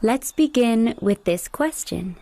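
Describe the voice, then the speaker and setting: A young adult woman leading a guided meditation, speaking slowly and soothingly as she gives gentle instructions. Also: fairly high pitch, animated, vocal fry.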